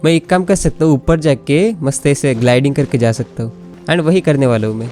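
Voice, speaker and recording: A man's voice: medium pitch, very fast, mellow, soft background music. A man with an Indian accent and native Hindi, giving casual, giggly gameplay commentary that mixes Hindi and English. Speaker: gaming YouTuber.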